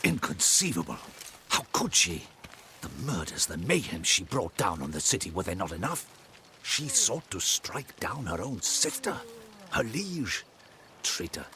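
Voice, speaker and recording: A man's voice: low-pitched, big pitch swings, unhurried, pushed and bright, a little hiss or hum, full character voice. A man playing a stern, dutiful guard captain in a video game, speaking formally and emphatically. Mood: appalled. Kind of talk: video game cutscene acting.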